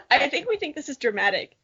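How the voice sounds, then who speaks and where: A woman's voice: sits high, big pitch swings, moderate pace, creaky, sharp tone. A young woman reading a story dramatically and chatting casually about it, with a giggly, playful, self-mocking manner. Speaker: podcast co-host. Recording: dull lo-fi audio.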